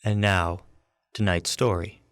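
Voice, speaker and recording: A man's voice: low-pitched, steady tone, slow, noisy background. A man giving a scripted, storytelling introduction as host of a radio story series.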